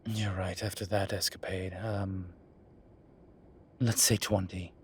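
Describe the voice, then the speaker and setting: A man playing a weary, wry incubus professor in an intimate audio roleplay, speaking in measured, drawn-out phrases. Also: low-pitched, level tone, slight background noise. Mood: wry, teasing. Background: ambient sound effects.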